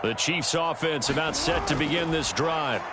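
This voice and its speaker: A man voicing a polished, excitable American football play-by-play announcer in a video game, with punchy broadcast delivery. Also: a little high, moderate pace, very noisy, natural voice. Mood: measured, analytical. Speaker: play-by-play sports commentator. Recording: loud music behind the voice.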